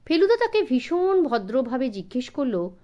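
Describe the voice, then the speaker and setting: High-pitched, expressive woman storyteller. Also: normal speed. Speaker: audiobook narrator. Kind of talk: expressive scripted story narration for children.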